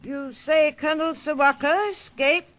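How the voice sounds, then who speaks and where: Prim, bright-voiced missionary widow. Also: female voice, high voice, steady tone. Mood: prim, composed. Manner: prim, brisk, proper. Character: genteel, unflappable missionary widow in the jungle. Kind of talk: 1940s-50s radio drama acting. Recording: noisy vintage radio recording.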